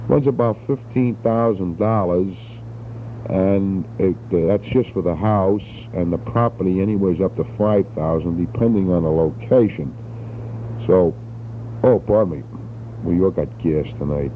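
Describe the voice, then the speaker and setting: A man's voice: deep voice, unhurried. A thin, narrowband old home tape carries a middle-aged man. He talks in a folksy, rambling way, as if chatting to family. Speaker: father recording a taped letter. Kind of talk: casual spoken letter to family. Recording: muffled, noisy 1960s home cassette tape.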